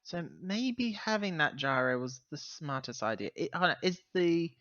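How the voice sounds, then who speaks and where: A man playing a roleplaying game, talking casually in character with halting, self-correcting explanations. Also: a little high, very animated, a bit bright, no echo, muffled recording. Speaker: tabletop roleplaying player.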